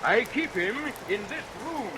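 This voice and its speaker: An actor playing a sly, gloating murderous barber with theatrical mock politeness in a vintage radio melodrama. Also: male voice, sits high, very animated, measured pace. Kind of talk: old-time radio melodrama acting. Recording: noisy vintage radio recording under rain sounds.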